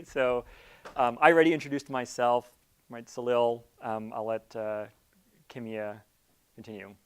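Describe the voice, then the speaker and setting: A middle-aged man giving an informal orientation talk as an academic leader, halting and repeating words as he thinks aloud. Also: mid-range voice, clear, resonant tone. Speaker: academic project leader, theorist. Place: seminar room. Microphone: lapel mic.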